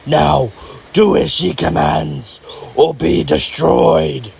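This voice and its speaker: A man playing an imperious henchman of an evil empress in a promo, slow, gravelly, pompous and menacing. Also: fairly high pitch, full character voice. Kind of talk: villain character promo.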